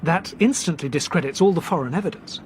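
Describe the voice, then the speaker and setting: An actor playing a brisk young Englishman who reasons deliberately and articulately, in a British radio drama. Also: a little high, lightly acted. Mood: methodical, sceptical. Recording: noisy train background ambience.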